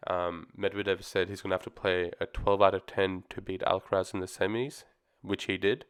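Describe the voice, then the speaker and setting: Low, flat-voiced man getting over flu. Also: a little fast, clean tone. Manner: easygoing, rambling, frequent ums and restarts. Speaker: tennis commentary vlogger. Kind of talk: casual solo opinion talk.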